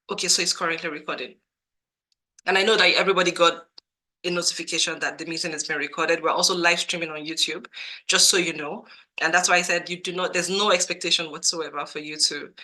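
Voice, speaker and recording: Bright-voiced woman with a cold, on a webinar. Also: fairly low pitch, low-quality recording. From Nigeria. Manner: earnest, repeats herself for emphasis. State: has a cold, voice a bit cracked. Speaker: webinar host and career coach. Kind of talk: live webinar presentation. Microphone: computer mic on a video call.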